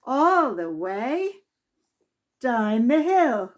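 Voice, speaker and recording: High-voiced woman, slow storytime reader. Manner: expressive, deliberate, drawn-out emphasis on key words. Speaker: librarian reading a children's storybook aloud. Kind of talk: children's storytime narration.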